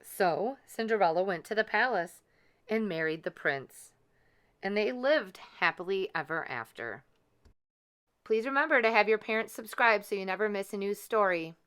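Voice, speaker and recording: A woman narrating a children's storybook in a deliberate, expressive way. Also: mid-range voice, smooth. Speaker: children's read-aloud host and storyteller.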